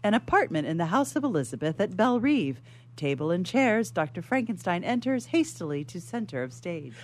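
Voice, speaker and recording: A narrator reading stage directions in a formal, measured, dramatic style for radio theatre. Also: medium pitch, very animated. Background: music.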